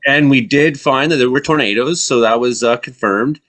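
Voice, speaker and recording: A man's voice: medium pitch, moderate pace, a bit bright. A Canadian man from southern Ontario hosting a casual weather talk show on radio.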